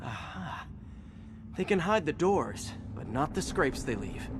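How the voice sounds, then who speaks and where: An actor playing a young wisecracking superhero in a video game, with an American accent and a casual, quippy delivery. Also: male voice, fairly high pitch, sing-song, unhurried, background noise. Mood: upbeat, focused. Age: young adult. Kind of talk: video game voice acting, natural dialogue.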